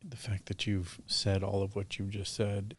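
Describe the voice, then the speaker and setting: A man's voice: lowish voice, little pitch movement, moderate pace. A man hosting a podcast interview, speaking in an earnest, supportive, emphatic way. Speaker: podcast host. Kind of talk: interview conversation.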